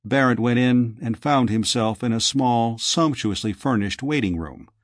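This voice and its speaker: A man's voice: medium pitch, unhurried, no room sound, clean studio sound. A middle-aged man reading science fiction as an audiobook narrator, in a scripted storytelling style.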